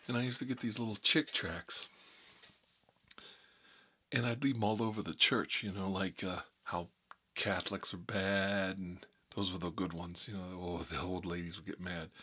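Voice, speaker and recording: A man's voice: low-pitched, fairly even intonation, moderate pace, pushed and bright, breathy edge, heavily acted. A man playing a nostalgic, folksy mob boss, telling rambling stories in a casual ASMR style. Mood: reminiscing. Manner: rambling, folksy, frequent "you know". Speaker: host of a character story series.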